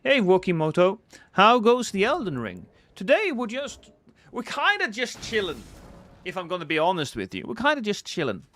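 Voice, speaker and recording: A man from Norway with a Norwegian accent, streaming a game live and joking with his chat while reacting loudly to the action. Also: high-pitched, wildly swooping pitch, moderate pace. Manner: playful, joking banter with chat.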